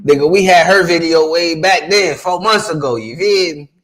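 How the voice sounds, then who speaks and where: A man's voice: on the high side, moderate pace, very noisy. A man from South Carolina hosting a reaction video, talking in loose slang and laughing easily. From South Carolina, USA. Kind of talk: casual reaction commentary.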